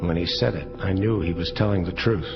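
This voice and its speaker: Audio drama narrator: world-weary angel detective, hardboiled, low register, slightly dull sound over music. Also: male voice, brisk. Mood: reflective. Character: world-weary male angel detective recounting his case.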